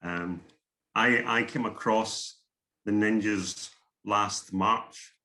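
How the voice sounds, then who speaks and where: A man's voice: on the low side, steady tone, extremely slow, bright, forceful tone. A middle-aged man from Scotland with a Scottish accent, giving a workshop talk over a video call, earnest and often repeating his words. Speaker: guest speaker. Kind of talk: online workshop presentation.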